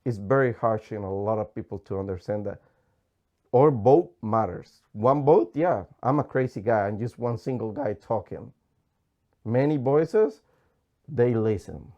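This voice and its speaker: A man's voice: lowish voice, unhurried. Passionate Colombian-accented man, dull lo-fi sound. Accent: Colombian Spanish. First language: Spanish. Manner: passionate, emphatic, non-native phrasing. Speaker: guest economist. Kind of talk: candid political interview.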